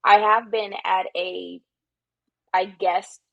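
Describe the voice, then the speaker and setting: A woman co-hosting a podcast, answering questions in a measured, careful way in casual conversation. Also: mid-range voice, fairly even intonation, creaky, dry recording, lo-fi sound. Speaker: podcast co-host.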